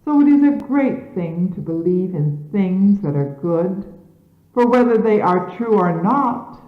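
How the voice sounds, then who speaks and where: A woman reading scripture as a solemn sermon in measured, emphatic, formal diction, on an archival recording from the 1970s. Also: medium pitch, expressive. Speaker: religious leader reading scripture aloud. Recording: phone-like, echoey 1970s archival tape.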